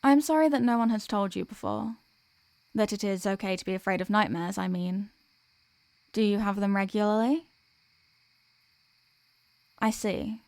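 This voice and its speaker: A woman playing a gentle, protective sleep paralysis demon in an ASMR comfort roleplay, speaking in a soothing, tender, reassuring way. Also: mid-range voice, no echo, natural voice. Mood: tender, caring.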